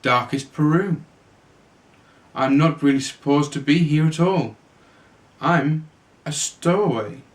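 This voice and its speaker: A man's voice: fairly high pitch, takes it slowly, pauses often. A man voicing a small, polite, earnest young bear in a children's storybook, speaking with formal manners.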